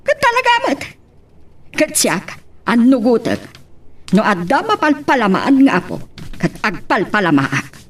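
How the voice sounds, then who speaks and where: Woman as bossy, animated Filipino mother. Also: on the high side, unhurried, long pauses, recorded from a distance, exaggerated voice. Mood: scolding, protective. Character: bossy, protective older mother of a grown son. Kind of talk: Filipino audio drama acting.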